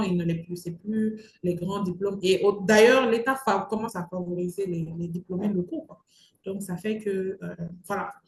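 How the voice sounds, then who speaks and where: Casting: young woman, emphatic conversational interviewee, roomy echo and faint noise behind. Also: mid-range voice. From Mali. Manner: emphatic, repeats phrases for stress. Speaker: entrepreneur interview guest. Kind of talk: conversational advice-giving interview talk.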